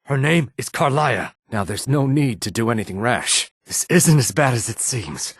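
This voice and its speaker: A male actor voicing a sly, courteous lizard-man townsman in a fantasy video game, with a husky, raspy voice and measured, formal phrasing. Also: medium pitch, lively intonation, dry recording, clean studio sound. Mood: panicked, pleading. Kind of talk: scripted video game dialogue acting.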